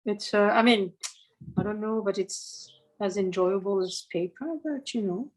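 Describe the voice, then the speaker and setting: A woman's voice: medium pitch, fairly even intonation, measured pace, poor audio. A woman art student on a video call, hesitating and searching for words as she explains her painting. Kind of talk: casual chat.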